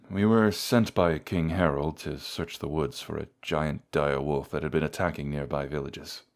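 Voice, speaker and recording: A man's voice: a bass voice, vocal fry, exaggerated voice. A man playing a proud, bratty wounded knight with a haughty, grumbling manner in a fantasy audio roleplay. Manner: haughty, grumbling, reluctantly vulnerable. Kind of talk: scripted audio roleplay addressed to the listener.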